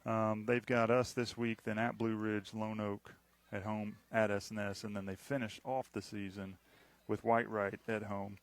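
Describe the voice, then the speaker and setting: A man calling a high school football game on local radio, chatty and rambling, teasing his broadcast partner. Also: little pitch movement, normal speed, hardly any pauses. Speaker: play-by-play announcer.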